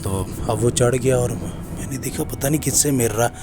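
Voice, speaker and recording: A man's voice: mid-range voice, moderate pace. A man informally retelling a listener's scary story as a narrator, in a conversational way with repeated reporting phrases. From Pakistan. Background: music.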